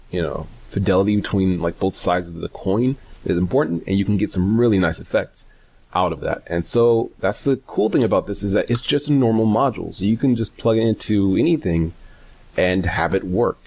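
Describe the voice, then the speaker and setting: A man presenting a casual, unscripted software tutorial in a hesitant, rambling way, often correcting himself. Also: low voice, lively intonation, gravelly, a little hiss or hum. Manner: hesitant, halting, self-correcting, rambling delivery.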